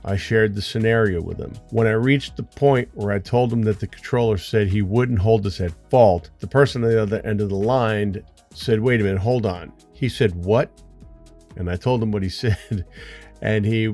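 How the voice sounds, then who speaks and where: A man's voice: fairly low pitch, normal speed, a little mellow, soft background music. An American man, a flight instructor, talking to viewers in an earnest, conversational storytelling way. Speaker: flight instructor hosting an aviation channel.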